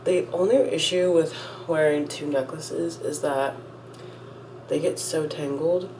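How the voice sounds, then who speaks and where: A young woman talks into her phone at home as she gets ready, rambling and cutting herself off mid-thought. Her voice sits slightly low and sounds a bit stuffy from a cold she is getting over. A faint background hum runs under the recording. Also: a little slow, darkish tone, rough recording. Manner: chatty, rambling, self-interrupting. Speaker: vlogger. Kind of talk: casual get-ready-with-me chat. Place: at home, indoors.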